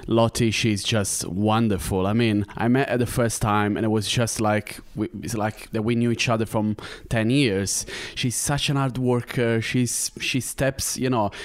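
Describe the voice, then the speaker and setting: A man's voice: lowish voice, no echo. A man chats playfully and jokes about himself. His voice sounds close and dry, with no room around it. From Italy. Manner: playful, joking, flirty. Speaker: professional dancer. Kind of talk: casual chat.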